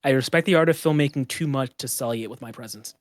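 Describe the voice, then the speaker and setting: A man's voice: mid-range voice, fast, no echo. Dry, sarcastic man on a podcast. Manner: dry, sarcastic, self-deprecating jokes. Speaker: podcast co-host. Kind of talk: casual chat.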